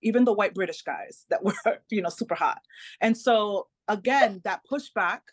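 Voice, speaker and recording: A Dominican American woman, Spanish her first language, speaks as a guest author in a relaxed interview. She talks in an animated way, restarts her phrases, and keeps asking "right?". Her voice sits at a middle pitch, and the sound is dry and close. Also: normal speed, no room sound. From Dominican American, parents from the Caribbean. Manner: animated, repeats words, often asks "right?". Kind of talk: casual interview talk.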